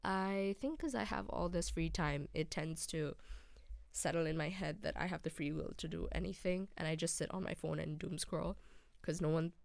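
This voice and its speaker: Low gravelly young woman chatting. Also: normal speed, dry recording. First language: not English. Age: young adult. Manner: relaxed, rambling, frequent ums. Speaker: podcast host. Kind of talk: casual solo chat.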